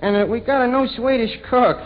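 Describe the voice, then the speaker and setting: Male comic doing lowbrow New barkeep: high voice, folksy, hesitant, wisecracking; noisy vintage radio sound. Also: brisk. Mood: chummy, boastful. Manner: hesitant, folksy, mangling his words. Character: bumbling, wisecracking lowbrow New York tavern manager on the phone. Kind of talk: 1940s radio comedy impression. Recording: dull, hissy vintage radio recording.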